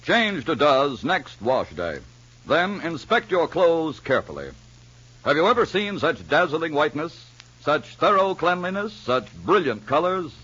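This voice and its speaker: Enthusiastic vintage ad man, animated and emphatic, slightly raspy, on a dull old broadcast. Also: on the high side, a little slow. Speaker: commercial announcer. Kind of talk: 1950s advertising read. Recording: muffled vintage broadcast recording.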